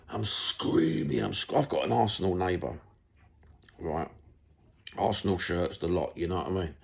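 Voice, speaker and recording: A man's voice: low-pitched, gravelly, darkish tone. A middle-aged man with a Cockney London accent, chatting bluntly and rambling in a casual monologue about football, swearing now and then. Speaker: football YouTuber.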